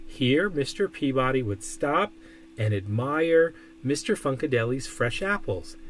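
A man reading a children's picture book aloud expressively, with deliberate, emphatic phrasing. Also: on the high side, slight background noise. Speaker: storybook reader. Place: indoor room.

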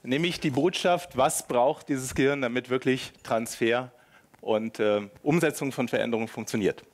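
A man in his fifties speaks at a medium pitch. He presents at a conference in an informal, playful way, often turning to his listeners with questions. He is picked up on a headset mic. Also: moderate pace. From Germany (Hanover). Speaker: keynote speaker, professor. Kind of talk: lively conference talk to an audience. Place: large hotel conference room.

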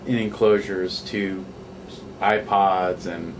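A man's voice: deep voice, steady tone, slow, a little hiss or hum, low-quality recording. A man interviewing a guest, mostly short encouraging interjections and brief questions. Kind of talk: casual interview.

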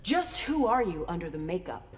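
A woman's voice: fairly low pitch, big pitch swings, normal speed, loud music behind the voice. An actress playing a tough, earnest female soldier in a video game, stern and confrontational. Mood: stern defiance. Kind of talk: video game character dialogue. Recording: long reverb, loud noise behind.

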